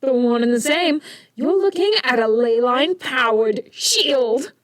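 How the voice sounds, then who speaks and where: A young woman playing an eager, brainy teenage inventor hero in an audio drama, geeky and expressive. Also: high-pitched, measured pace, no echo. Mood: intrigued, probing.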